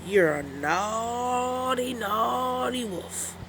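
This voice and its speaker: A woman's voice: on the high side, lively intonation, fairly bright tone, a little hiss or hum, voice pushed up, exaggerated voice, poor audio. A woman voicing a stern elderly male turtle shopkeeper in a storybook, drawing out each scolding word. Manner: very slow, drawn-out scolding. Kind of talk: children's storybook read-aloud.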